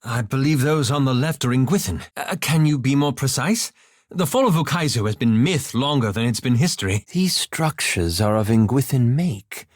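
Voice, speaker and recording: A man's voice: medium pitch, animated, normal speed, no echo, studio quality, natural voice. An actor voicing a cautious, scholarly young male elf wizard in a video game, formal and dryly sardonic, with precise articulation. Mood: curious, careful. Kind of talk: scripted video game voice lines.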